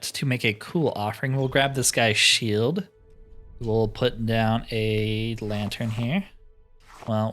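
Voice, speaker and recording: A man's voice: mid-range voice, fairly bright tone. A man giving casual playthrough commentary as a gaming host, thinking aloud in short, informal remarks.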